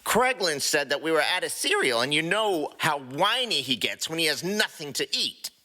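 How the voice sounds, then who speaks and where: A man's voice: on the high side, very animated, moderate pace, bright, forceful tone, pitched above the performer's own voice, heavily acted. A man voicing a small, defensive raccoon troublemaker in a comic fan fiction reading, arguing indignantly.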